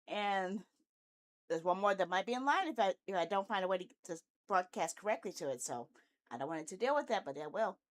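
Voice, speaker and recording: A woman with a medium-pitched voice talks casually as a game streamer to viewers. Her delivery is rambling and candid, with a stubborn, grumbling streak. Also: expressive, fairly quick. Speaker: video game livestreamer, host. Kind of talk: casual livestream chat.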